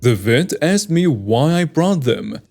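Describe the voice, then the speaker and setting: Male story narrator, fairly high voice, steady scripted read over faint music. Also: slow pace, creaky. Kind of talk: scripted narration of online stories. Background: faint background music.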